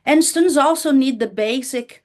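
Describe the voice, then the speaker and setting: A woman's voice: sits high, moderate pace, darkish tone. A woman whose first language is Portuguese, giving a conversational workshop talk to teachers, with frequent fillers and restarts. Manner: conversational, many "you know" fillers and restarts. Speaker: workshop presenter.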